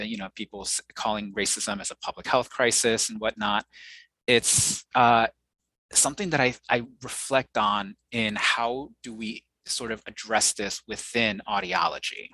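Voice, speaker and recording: A man whose first language is Mandarin, speaking as a researcher guest in a podcast interview, thoughtful and measured, with frequent pauses and fillers. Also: lowish voice, pushed and bright, no echo, low-quality recording. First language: Mandarin Chinese.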